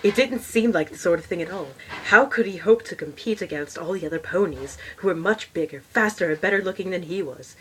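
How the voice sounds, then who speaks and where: A woman voicing a stuffy, horse-loving male troll who reads a storybook aloud in a formal, pompous way, with muttered, exasperated asides and a husky voice. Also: on the low side, fairly quick, few pauses, lightly acted, rough recording. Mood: earnest, muttering.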